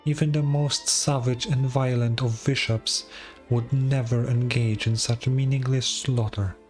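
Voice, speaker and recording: An ancient dragon lord played by a man speaks in a stern, scornful manner. The delivery is deliberate and grandiose, like fantasy game dialogue, with faint music underneath. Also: mid-range voice, fairly even intonation, darkish tone, close to an everyday voice. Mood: grave reproach.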